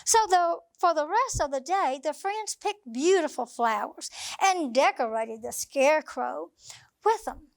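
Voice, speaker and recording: A woman storyteller reading a picture book aloud for young children at bedtime, with an expressive, sing-song delivery. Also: high-pitched, a little slow, gravelly, dry recording.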